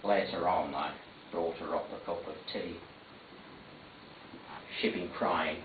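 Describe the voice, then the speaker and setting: A man's voice: sits low, fairly even intonation, slow pace, noisy background. A middle-aged man, telling a dramatic monologue in a dry, wry, understated way, heard in a tinny, distant, echoey recording. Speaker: monologue narrator.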